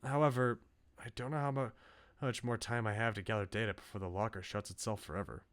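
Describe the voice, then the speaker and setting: Man reading as an earnest, low-voiced bookish researcher. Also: fairly even intonation, close to an everyday voice. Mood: grave, resolute. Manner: measured, formal, serious. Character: earnest, bookish male researcher in a visual novel.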